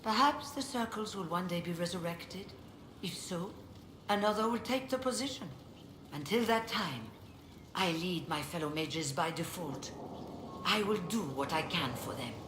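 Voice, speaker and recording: A weary, dignified fantasy mage leader from a video game. The voice sits somewhat low and speaks formally and reflectively. The capture is echoey with clear noise behind it. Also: female voice, expressive, moderate pace, a bit bright. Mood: grave, measured. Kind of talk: video game dialogue acting. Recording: roomy echo, clearly noisy game capture.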